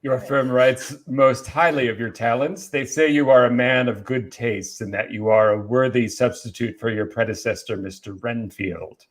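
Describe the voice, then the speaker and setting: A man playing a proud, courtly old vampire count in an amateur dramatic read, speaking formally and deliberately. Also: medium pitch, fairly even intonation, lightly acted. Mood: wistful, courtly.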